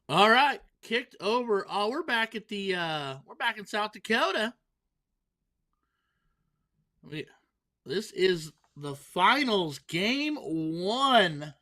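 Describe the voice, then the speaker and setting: A man gives live play-by-play at a darts event in a slightly high voice. He jokes constantly and makes fun of himself between throws. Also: sharp tone. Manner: playful, self-mocking banter. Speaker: darts commentator. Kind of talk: joking live sports commentary.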